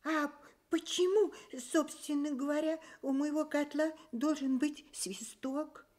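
High-voiced, earnest little hedgehog. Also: female voice, steady tone, unhurried, a little mellow. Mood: puzzled. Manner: earnest, childlike. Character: small, naive, proud, easily upset male hedgehog. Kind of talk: Soviet radio fairy-tale acting.

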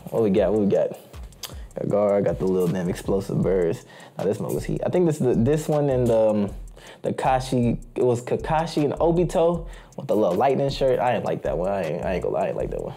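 Young guy hyped up showing off his haul, slangy and repeating himself, mellow voice that swings around a lot, faint music behind. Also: medium pitch, creaky. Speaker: streetwear haul vlogger. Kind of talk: casual haul video chat. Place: small indoor room full of anime posters.

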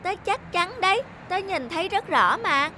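An actor voicing an earnest, pleading little boy in an animated children's story, speaking in an insistent, childlike way. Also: very high voice, normal speed, a little hiss or hum, heavily acted. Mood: insistent, upset.

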